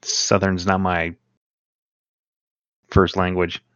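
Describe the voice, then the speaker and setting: A man's voice: low-pitched, monotone, slow, fairly bright tone, clear, resonant tone, dry recording. A man, the author of the story, chatting in a laid-back way and making dry remarks. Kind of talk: casual chat. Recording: dull, muffled sound.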